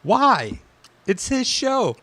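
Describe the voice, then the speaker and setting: A man's voice: very high-pitched, big pitch swings, extremely slow, bright, forceful tone, dry recording. A man co-hosting and producing a podcast, teasing his co-host and arguing back, with some swearing. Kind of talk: casual chat.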